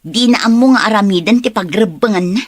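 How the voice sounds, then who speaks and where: A woman's voice: mid-range voice, a little fast, dry recording, clean studio sound, natural voice. A woman acting a resentful, blunt young woman in an Ilocano audio drama.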